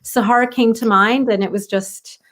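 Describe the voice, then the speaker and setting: A woman's voice: a little high, steady tone, moderate pace. A woman hosts an online read-aloud. She speaks casually and with enthusiasm, as an author and educator introducing a book.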